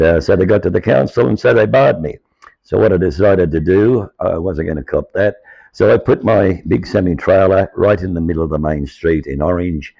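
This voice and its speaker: An older Australian man with a croaky, aged voice, telling his life story to camera in an earnest, rambling way. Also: sits low, no room sound, lo-fi sound. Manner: earnest, rambling, grows insistent. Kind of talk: personal monologue to camera.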